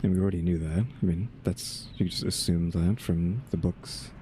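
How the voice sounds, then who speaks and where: A man with a very low, mellow voice chats casually through a video game. He thinks out loud and wanders from one thought to the next. There is some background noise on the recording. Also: steady tone, normal speed. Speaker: gaming video host. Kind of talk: casual game playthrough commentary.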